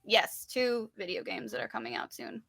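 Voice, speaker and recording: A woman's voice: on the high side, normal speed, vocal fry, a bit bright, soft background music. A woman being interviewed as a singer, talking in an informal, giggly way. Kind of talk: casual interview chat.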